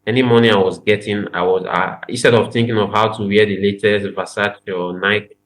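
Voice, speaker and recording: Earnest young Nigerian man, low voice. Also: fairly even intonation, brisk, fairly bright tone, poor audio. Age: young adult. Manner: earnest, repeats phrases, emphatic. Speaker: podcast guest. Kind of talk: casual interview chat.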